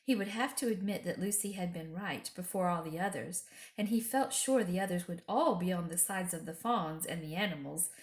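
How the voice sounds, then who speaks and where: A woman's voice: lowish voice, brisk. A woman storyteller expressively reading a children's storybook aloud. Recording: echoey room.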